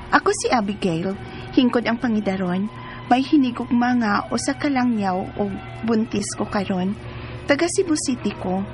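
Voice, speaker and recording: A woman playing a lovestruck, excitable young Filipina in a radio drama, expressive, with a Cebuano accent. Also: fairly high pitch, lightly acted. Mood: earnest. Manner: gushing, expressive.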